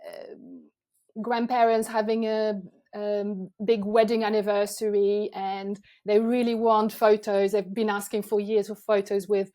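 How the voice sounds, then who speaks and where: A woman's voice: mid-range voice, little pitch movement, unhurried, warm tone. A woman from France with a French accent, a photographer guest chatting casually in a podcast interview, with frequent ums and restarts.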